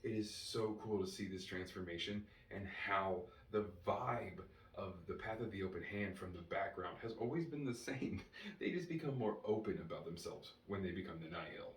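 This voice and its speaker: A man giving an enthusiastic fan review as a solo host, excited and emphatic, drawing out key words. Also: fairly low pitch. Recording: roomy, distant mic with faint noise.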